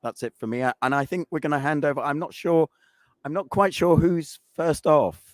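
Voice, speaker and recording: Croaky guy losing his voice, rambly conference talk, dry close sound. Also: fairly high pitch, moderate pace, dry recording. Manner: rambling, with fillers. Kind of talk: conference presentation and panel chat.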